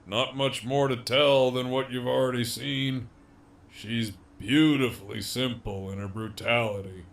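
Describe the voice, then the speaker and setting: A man acting a slow, gravelly, cocky captive villain. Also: mid-range voice, steady tone. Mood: sly, amused. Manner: swaggering, foul-mouthed, drawling. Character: cocky, menacing, wryly amused imprisoned gang leader, adult man. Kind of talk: audio drama acting.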